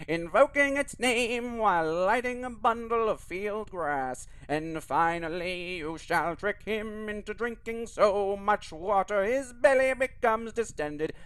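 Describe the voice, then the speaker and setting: A man voicing a frail elderly village priest, speaking in a slow, drawn-out preaching cadence. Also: high voice, fairly bright tone, clean tone, no room sound, pitched above the performer's own voice.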